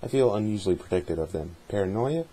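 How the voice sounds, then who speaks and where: A man giving an amateur dramatic reading of a journal in a measured, deliberate way, with a husky voice. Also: lowish voice, expressive, warm tone, poor audio. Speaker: amateur reader hosting a dramatic reading series.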